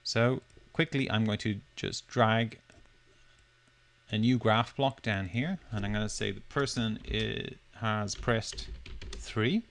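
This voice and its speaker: Man narrating a software walkthrough. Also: fairly low pitch, slow pace. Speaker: software demo presenter. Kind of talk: step-by-step screencast tutorial narration.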